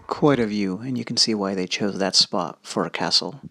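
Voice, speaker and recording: A man narrating his own travel footage over the video, relaxed and conversational, with fillers and asides. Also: on the low side, moderate pace, a little hiss or hum. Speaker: history video host. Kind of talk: casual narrated travel commentary. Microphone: voice-over recorded separately.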